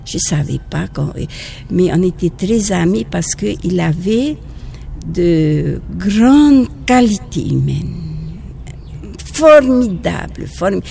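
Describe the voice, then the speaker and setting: Slow gravelly Polynesian woman. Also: lowish voice, expressive, slight background noise. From French Polynesia. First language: Tahitian. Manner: halting, restarts phrases, gentle. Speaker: nun and teacher. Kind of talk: outdoor interview recollection.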